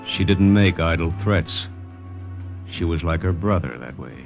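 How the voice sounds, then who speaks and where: An actor playing a tough, wry secret agent in old-time radio drama. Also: male voice, sits low, expressive, measured pace, vocal fry, highs cut off, faint music behind, full character voice. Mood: grim, clipped. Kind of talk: old-time radio drama, first-person narration and dialogue.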